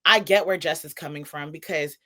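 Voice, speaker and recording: A woman's voice: sits low, a little fast, fairly bright tone. Woman podcast co-host, slangy and emphatic. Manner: informal slangy phrasing, emphatic. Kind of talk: casual opinionated entertainment commentary.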